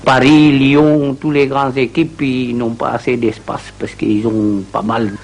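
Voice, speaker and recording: A man's voice: medium pitch, little pitch movement, unhurried. A man born in Italy, a volunteer speaking plainly and unhurriedly in an interview. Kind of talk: casual interview.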